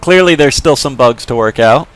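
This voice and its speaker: A young man giving casual, rambling commentary while he plays a video game, with lots of fillers and sudden shouts. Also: mid-range voice, animated, normal speed, a little hiss or hum. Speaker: gameplay video host. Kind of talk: casual let's play commentary.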